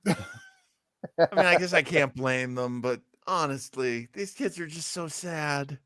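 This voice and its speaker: Man, podcast host, bright forceful voice, higher pitch, casual comedic commentary, jokey repetition. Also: slow, no echo, studio quality. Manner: jokey, repeats himself for effect.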